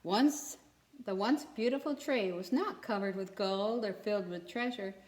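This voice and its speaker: A woman's voice: medium pitch, darkish tone. A woman reading a children's story aloud expressively, as a storyteller who checks in with young listeners. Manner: pauses to ask young listeners questions. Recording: echoey room.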